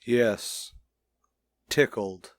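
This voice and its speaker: An actor playing a stuffy, bossy middle-aged high school principal in a vintage radio comedy, with formal, blustering delivery. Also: male voice, extremely slow, no echo, studio quality. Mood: grumpy.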